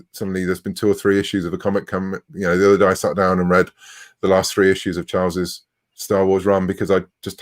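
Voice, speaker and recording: A middle-aged man talking casually as an interview guest, chatty and often interrupting himself. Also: sits low.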